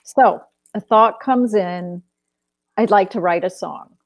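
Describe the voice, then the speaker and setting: Lady moderator reading questions out, really slow and careful, bit of fry, dry close sound. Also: mid-range voice, no echo. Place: live online stream.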